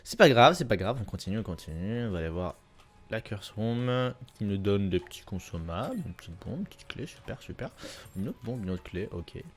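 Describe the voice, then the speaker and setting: Low-voiced man rambling over gameplay. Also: fairly quick, faint music behind. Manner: relaxed, rambling, many fillers and repeats. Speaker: gaming YouTuber. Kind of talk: casual gameplay commentary. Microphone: desk mic at a gaming setup.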